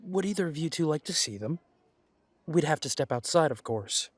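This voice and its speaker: A man's voice: on the high side, expressive, normal speed, breathy edge, no echo. A man playing a cocky, wry werewolf alpha and former soldier in an audio drama, sardonic and casual in his challenge.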